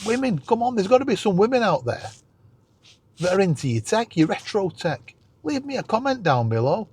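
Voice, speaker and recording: A man's voice: sits high, sing-song, normal speed, darkish tone, slightly rough. A middle-aged man, chatting casually and enthusiastically as a self-deprecating YouTube host.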